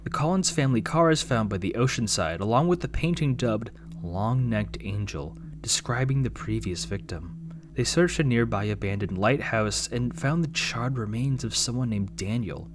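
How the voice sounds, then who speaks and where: A man's voice: mid-range voice, animated, moderate pace, noisy background. A young man narrating a scripted commentary video with wry, sarcastic asides, over faint background music. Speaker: YouTube commentator.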